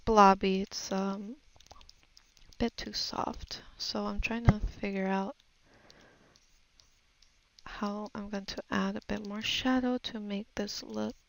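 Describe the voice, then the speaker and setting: A woman talks through a digital art tutorial at an unhurried pace. Her voice has a lot of gravelly fry at a middle pitch. She sounds unscripted and tentative, murmuring to herself as she thinks aloud. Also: steady tone, poor audio.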